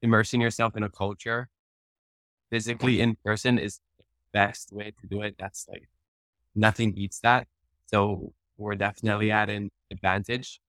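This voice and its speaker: A man's voice: on the low side, little pitch movement, normal speed, a bit bright, no room sound, low-quality recording. A man from Hawaii whose first language is Hawaiian, talking casually as a guest on a panel. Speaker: panel guest, podcast host.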